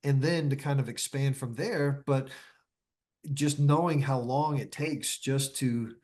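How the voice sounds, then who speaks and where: A man with a medium-pitched voice talks casually as an interview guest. He reflects in long, loosely linked phrases, often repeating a word as he goes. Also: unhurried, a little mellow.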